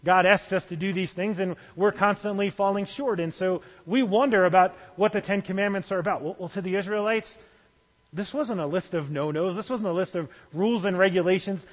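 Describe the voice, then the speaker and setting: A male pastor gives a relaxed, conversational sermon, sometimes restarting his phrases. His voice sits high for a man. Long reverb from the sanctuary trails behind each phrase.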